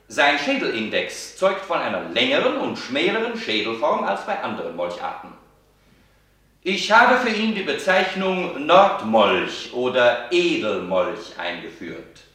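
Fanatical scientist-orator for radio play: slow, swooping, distant and self-important. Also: male voice, medium pitch, bright, forceful tone. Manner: declamatory, swelling into fervent oratory. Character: pompous German racial-theorist scientist proclaiming superiority. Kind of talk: radio drama lecture. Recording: very echoey, distant from the mic.

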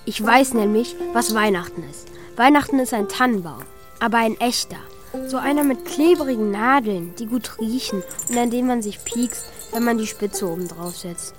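A woman's voice: a little high, moderate pace, over music, lightly acted. A woman playing a pensive, wistful child who narrates and speaks plainly in a children's radio play. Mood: sulky, wistful. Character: Mika, pensive child missing a parent at Christmas. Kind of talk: radio play first-person narration and dialogue.

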